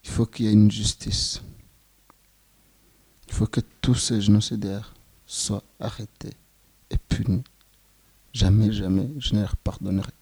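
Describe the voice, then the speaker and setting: Slow, grave young Rwandan man. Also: lowish voice, fairly even intonation, darkish tone, husky, no echo, low-quality recording. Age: 20s. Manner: slow, grave, measured phrasing. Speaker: genocide survivor giving testimony. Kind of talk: solemn spoken testimony.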